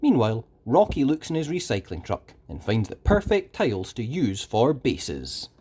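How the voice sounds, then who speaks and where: A storyteller reading a children's book aloud in an expressive, dramatic way with deliberate emphasis. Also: medium pitch, slight background noise. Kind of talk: animated scripted children's story narration.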